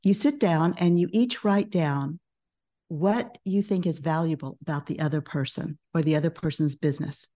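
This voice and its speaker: Middle-aged woman guest, calm measured coach, mellow low voice. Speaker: guest, business coach and author. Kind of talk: podcast interview.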